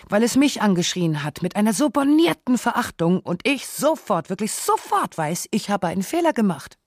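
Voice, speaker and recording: A man playing an anguished, self-reproaching father who narrates a radio essay in deliberate, reflective phrases. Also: high-pitched, no room sound. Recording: dry studio with some music.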